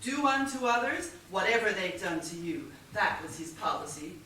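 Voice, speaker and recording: Echoey, airy, scatterbrained wealthy widow played by an actress. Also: female voice, medium pitch, expressive, normal speed, pushed and bright. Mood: evasive, airy. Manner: airy, theatrical, mock-innocent. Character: wealthy, scatterbrained, evasive middle-aged widow under suspicion. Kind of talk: stage comedy acting. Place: stage of a theatre. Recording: echoey theatre, distant mic, faint noise.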